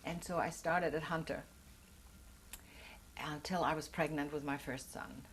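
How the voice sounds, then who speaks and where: A woman's voice: low-pitched, fairly even intonation, measured pace, slight background noise. An older woman whose first language is German, telling her life story in an oral history interview, reflective and earnest as she searches for words.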